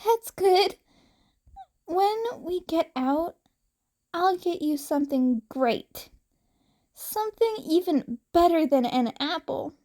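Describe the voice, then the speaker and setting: A woman's voice: extremely high pitch, expressive, slow, long pauses, warm tone, pitched above the performer's own voice, heavily acted. A woman voicing a battered teenage boy vigilante, weary but kind and protective, with a strained voice in an audio story. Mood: gentle, reassuring. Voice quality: strained, raspy.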